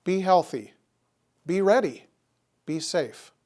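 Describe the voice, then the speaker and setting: A man presenting scripted public safety information in a deliberate, instructional manner. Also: high-pitched, expressive, darkish tone.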